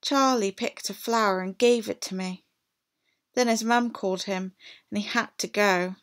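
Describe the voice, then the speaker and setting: A woman reading a picture book aloud to children as a teacher, in an animated, deliberate way. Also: mid-range voice. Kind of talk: expressive storybook read-aloud for children.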